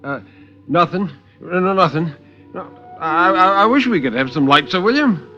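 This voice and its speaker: A man's voice: high-pitched, expressive, moderate pace, pushed and bright, soft background music, voice pushed up. A vain, guilty British man in his late thirties acted out by a man talks in a raised, agitated voice. He stammers and protests politely, radio horror style, on a muffled vintage recording. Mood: nervous, flustered. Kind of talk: 1950s radio horror acting.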